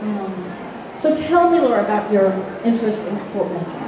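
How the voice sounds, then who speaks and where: A woman interviewer keeps her questions short and friendly. She is heard from a distance in a very echoey hall, with clear background noise around her. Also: mid-range voice, sing-song, moderate pace. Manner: brief, friendly prompting questions.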